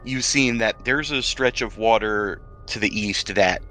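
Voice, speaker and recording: Male game master, bright forceful voice on the lower side, conversational explainer improvising the narration. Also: measured pace, a little hiss or hum, rough recording.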